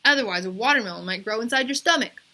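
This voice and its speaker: A woman voicing a chatty, excitable schoolboy who rambles emphatically in a children's storybook read-aloud. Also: fairly high pitch, fast talker. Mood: boastful, animated.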